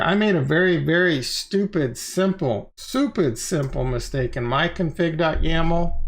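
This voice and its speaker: A middle-aged man hosting a livestream, explaining things in an informal, slangy, conversational way and repeating phrases for emphasis. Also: a little high. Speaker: crypto channel host and livestreamer. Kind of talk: casual, unscripted livestream explainer.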